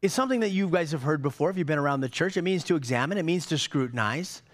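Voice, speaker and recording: A middle-aged man preaching a sermon on a church stage, animated, with many repeated words and restarts. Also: on the high side, fast. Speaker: pastor.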